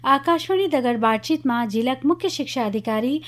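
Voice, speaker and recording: A woman with a Kumaoni accent reads scripted regional news for radio. Her voice sits on the high side as she delivers each item as a newsreader. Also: expressive, a little fast.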